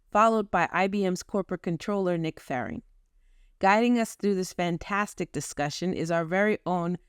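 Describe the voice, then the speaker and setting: Host read: woman, low and smooth, upbeat scripted delivery. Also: dry recording, clean studio sound. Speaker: podcast host. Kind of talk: scripted podcast intro.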